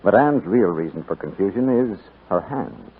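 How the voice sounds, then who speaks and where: A male radio announcer of the 1940s with a lowish, slightly rough voice and very lively pitch. He sells with polish and persuasion over an old narrow-band broadcast. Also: moderate pace. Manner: polished, persuasive sales delivery.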